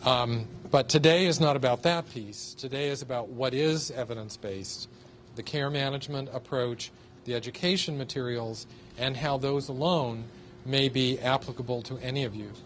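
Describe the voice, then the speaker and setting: A man giving an introduction at a community care conference, speaking with frequent restarts and hesitations. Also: normal speed. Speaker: research study lead introducing a training. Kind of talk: conference talk. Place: conference room. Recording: distant mic with loud rumble.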